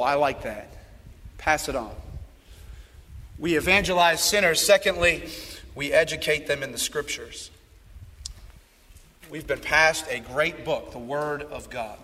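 Hoarse youth pastor, male, high bright voice, preaching in a reverberant church hall. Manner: swings between loud fired-up calls and low earnest appeals. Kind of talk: sermon.

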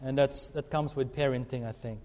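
A middle-aged man from the Philippines, preaching a sermon in an earnest, halting way full of fillers and restarts. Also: mid-range voice, steady tone, warm tone, a little hiss or hum. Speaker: guest preacher. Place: church sanctuary. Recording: long reverb of a church hall.

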